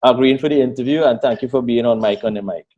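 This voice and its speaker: A man's voice: mid-range voice, quick pace, warm tone. Male host, muffled audio. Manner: encouraging, repeats key points. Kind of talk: interview and podcast hosting.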